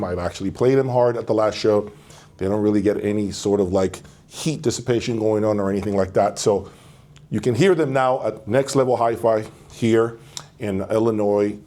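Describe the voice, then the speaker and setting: A man's voice: fairly low pitch, fairly even intonation, normal speed, warm tone. A man hosting a casual product presentation, talking directly to viewers. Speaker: host of an audio review channel.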